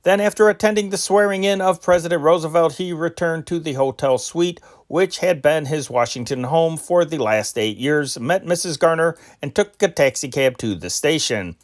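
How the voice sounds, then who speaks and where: A man reading old newspaper dispatches aloud in an unpolished, measured way, with occasional slips. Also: a little high. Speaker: news reader.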